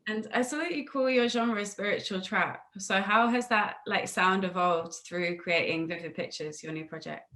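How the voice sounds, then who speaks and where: A woman's voice: mid-range voice, normal speed, few pauses, fairly bright tone. A woman hosting an interview in a casual, chatty style. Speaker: interviewer, host. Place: remote video call.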